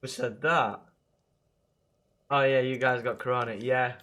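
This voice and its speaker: A young man with a blocked nose and rough throat, chatting casually and swearing with viewers while playing a game. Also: mid-range voice, steady tone, a little slow, bright, forceful tone. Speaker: livestreamer playing a zombie game.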